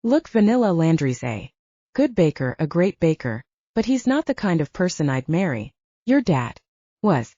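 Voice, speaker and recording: A woman's voice: deep voice, warm tone, no room sound, lo-fi sound. A woman voicing a blunt, protective young male butcher who teases, in an audiobook. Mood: blunt, reassuring. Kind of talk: audiobook character dialogue.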